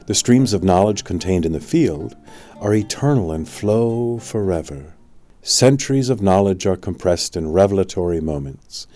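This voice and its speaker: Deep, mellow male audiobook narrator. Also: animated, soft background music. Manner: measured, deliberate phrasing. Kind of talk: scripted spiritual audiobook narration.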